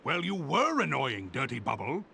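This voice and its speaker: Sharp, creaky evil-genius villain. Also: male voice, mid-range voice, wildly swooping pitch, moderate pace, a little hiss or hum, exaggerated voice. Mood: haughty. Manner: haughty, dry, theatrical. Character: haughty, dry evil-genius male villain. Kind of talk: cartoon game voice acting.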